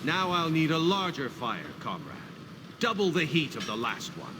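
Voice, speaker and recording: Casting: a devout fantasy forge master, slightly high male voice, animated and exhorting, game dialogue over clear background noise. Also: moderate pace. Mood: urging. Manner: formal, exhorting, emphatic. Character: hearty devout warrior smith, encouraging forge master. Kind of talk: video game character dialogue.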